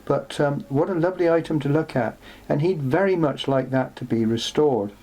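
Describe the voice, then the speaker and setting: A man presenting an unscripted show-and-tell in a workshop, speaking haltingly as he thinks aloud. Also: on the high side, dark tone. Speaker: presenter showing vintage electronics for restoration. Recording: clearly noisy, with background hum.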